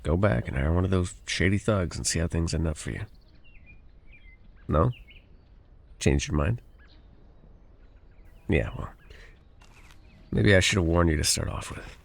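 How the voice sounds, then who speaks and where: Casting: gruff wilderness guide for an ASMR roleplay, played by a man; very deep, husky, dry and teasing with the client. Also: heavily acted. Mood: stern, grudging. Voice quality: low, gravelly, husky. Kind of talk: ASMR audio roleplay, one-sided dialogue addressed to the listener.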